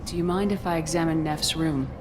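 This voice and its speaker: Actress as low, composed female commander. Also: steady tone, brisk, background noise, lightly acted. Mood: probing. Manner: direct, controlled, businesslike. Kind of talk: dramatic video game acting.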